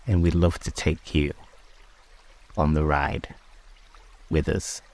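Male meditation host, exceptionally deep voice, very slow and unhurried delivery, hushed phrasing with long pauses. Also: fairly even intonation, gravelly. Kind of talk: slow guided sleep meditation and prayer.

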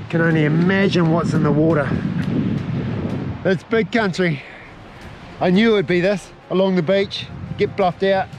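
A man, filming himself as he scrambles along sea cliffs and talks through each move, a little short of breath. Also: sits high. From New Zealand. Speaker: outdoor adventure vlogger. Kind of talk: self-filmed commentary while climbing. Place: outdoors on a windy coastal cliff. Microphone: head-mounted action camera. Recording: wind noise on an action camera.

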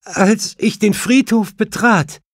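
Man playing a high-pitched, tearful grieving widow. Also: slow, no echo, clean studio sound, heavily acted. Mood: tearful, distraught. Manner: tearful, shaken. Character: distraught weeping widow, a grieving gentlewoman.

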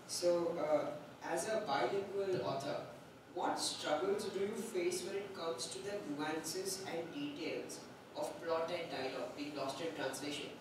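A man moderating a festival panel, asking measured questions. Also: a little high, distant mic. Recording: echoey hall, mic from afar, hum.

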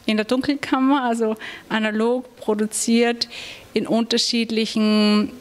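A woman in her thirties, an artist speaking thoughtfully into a handheld mic about her work, pausing to search for words. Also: on the high side, flat delivery. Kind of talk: talking about her artwork. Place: gallery room. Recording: long gallery reverb.

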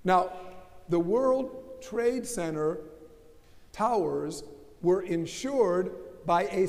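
A man's voice: sits high, darkish tone. An older American man preaching a sermon from the pulpit, emphatic and asking rhetorical questions. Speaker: pastor. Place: large church sanctuary. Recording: long church reverb.

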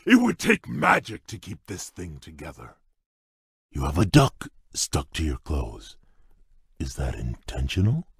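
An actor playing a large, shy, polite beast prince in a video game, speaking in a courteous, formal, earnest way with a husky voice. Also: male voice, wildly swooping pitch, a little slow, no echo, clean studio sound, full character voice. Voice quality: deep husky voice. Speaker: game character. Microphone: dry close studio mic.

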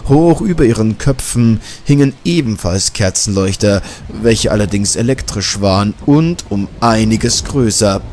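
A man's voice: on the low side, animated, unhurried, hardly any pauses, darkish tone, slight background noise. Man narrating a gothic horror story. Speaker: audiobook narrator.

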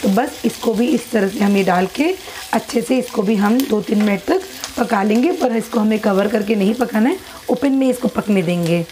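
A woman talking through a recipe step by step in her home kitchen, in an unhurried, repetitive instructional way. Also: medium pitch, warm tone, a little hiss or hum, rough recording. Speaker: cooking channel host. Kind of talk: step-by-step cooking demonstration. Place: home kitchen at a gas stove.

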